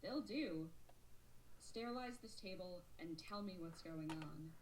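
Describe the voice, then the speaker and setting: A woman playing a brisk, skeptical medic aboard a starship in a sci-fi audio drama. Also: fairly low pitch, expressive, a little hiss or hum, poor audio.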